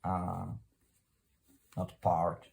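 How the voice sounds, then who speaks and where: A man with a Czech accent, a native Czech speaker, presenting an informal unscripted explainer in a halting, hesitant way with formal phrasing. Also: low-pitched, monotone, darkish tone. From Czech Republic.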